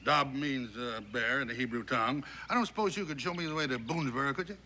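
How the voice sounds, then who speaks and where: A man's voice: medium pitch, fast talker, a little hiss or hum. An actor playing a folksy, genial male teller of tall tales in an old television western, with drawling frontier phrasing. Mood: folksy storytelling. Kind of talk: 1960s TV western acting, unhurried storytelling. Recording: loud music behind TV soundtrack.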